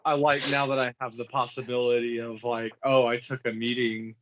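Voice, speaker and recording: A man's voice: mid-range voice, level tone, normal speed. A man chatting casually in a wry, joking way on a lo-fi recording. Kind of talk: casual group banter. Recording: dull lo-fi, faint noise, clicks.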